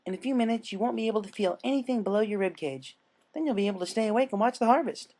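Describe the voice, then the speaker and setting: A man's voice: sits high, animated, rapid-fire, darkish tone, voice pushed up. A man voicing a manic, cheerfully cruel young female cartoon pony villain in a story reading, chirpy and breezily menacing. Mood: gleeful menace. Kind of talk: fan fiction story reading.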